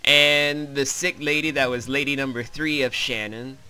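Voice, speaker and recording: Bright, clear-voiced man recapping a game. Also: medium pitch, steady tone, moderate pace. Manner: wry, sweary, rambling. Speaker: let's play host. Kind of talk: casual visual novel commentary and recap.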